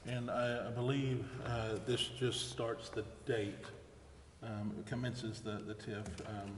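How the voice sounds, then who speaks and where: A man chairing a council meeting, speaking in a halting, informal way and reading out formal items. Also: medium pitch, level tone. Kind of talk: formal motion reading and unscripted meeting discussion. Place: indoor council chamber. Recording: echoey hall with background noise.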